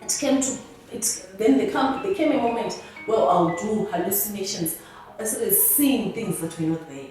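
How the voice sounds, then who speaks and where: Zimbabwean woman in her young adult years, earnest halting testimony, mid-pitched voice, recorded far off in a very echoey room. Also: expressive, darkish tone, faint music behind. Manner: halting and earnest, often restarting words. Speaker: interviewee. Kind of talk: personal testimony.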